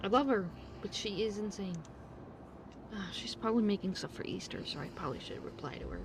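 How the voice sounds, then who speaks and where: Streamer type: mid-pitched woman, informal off-the-cuff game commentary with plenty of swearing, noticeable background noise. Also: animated, brisk. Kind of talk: casual livestream commentary. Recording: noisy stream with game audio behind.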